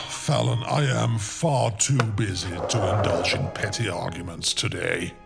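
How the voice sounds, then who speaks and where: A man playing a stern, cold military father and boss in an animated comic dub, with a gravelly voice and a formal, clipped, unyielding manner. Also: fairly low pitch, unhurried. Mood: stern, dismissive. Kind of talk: animated comic fandub acting. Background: music.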